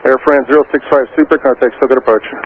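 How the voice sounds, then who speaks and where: A man's voice: mid-range voice, steady tone, fast. A man working as an air traffic tower controller, giving clipped procedural instructions over aviation radio. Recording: narrow tinny radio transmission, faint noise.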